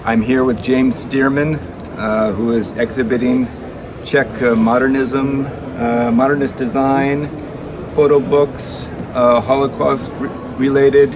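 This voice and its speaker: Hesitant man over street noise. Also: mid-range voice. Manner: hesitant, many fillers. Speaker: bookshop owner, guest reader. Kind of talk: casual spoken introduction.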